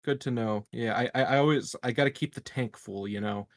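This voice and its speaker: A man's voice: mid-range voice, brisk, no room sound, studio quality. A man playing a tabletop roleplaying game, chatting casually in a jokey, mock-innocent way. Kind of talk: casual joking table chat.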